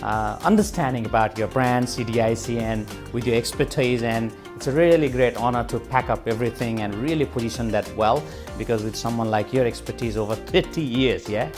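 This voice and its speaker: Enthusiastic interviewer from Nepal. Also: male voice, medium pitch, a little fast, few pauses. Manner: enthusiastic, many short affirmations. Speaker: interviewer, marketing agency. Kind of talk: interview chat. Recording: light music behind.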